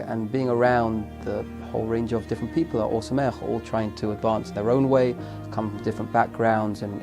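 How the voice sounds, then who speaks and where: A young man with a fairly low voice talks earnestly about his training. He reflects as he goes and restarts his phrases now and then. Soft music plays behind him. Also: vocal fry. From London, England. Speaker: teacher trainee. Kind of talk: testimonial interview.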